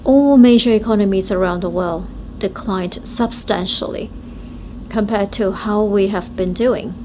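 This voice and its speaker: A woman interpreting speeches live at a forum, speaking in short, halting phrases. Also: mid-range voice, dark tone, slight background noise, rough recording.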